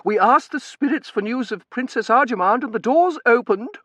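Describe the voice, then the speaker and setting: A man voicing a bewildered middle-aged society mother in an audiobook, vague and drawn-out in manner. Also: high voice, very animated, pushed and bright, no echo, voice pushed up, exaggerated voice. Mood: rising alarm.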